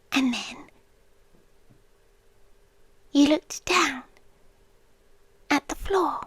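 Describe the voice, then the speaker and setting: Woman acting as obsessive yandere girlfriend, British accent, very slow breathy delivery, drifting into whispers, swooping pitch, intimate ASMR roleplay, close mic. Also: no echo, exaggerated voice. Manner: slow, breathy, lingering on short phrases with pauses. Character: obsessive yandere girlfriend, creepily devoted young woman stalker. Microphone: close microphone, dry intimate recording.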